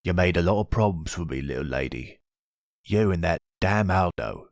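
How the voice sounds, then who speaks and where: A man's voice: low-pitched, expressive, moderate pace, gravelly, no echo, clean studio sound. A man playing a cold, vengeful mafia villain in an audio roleplay, curt and threatening. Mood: cold menace.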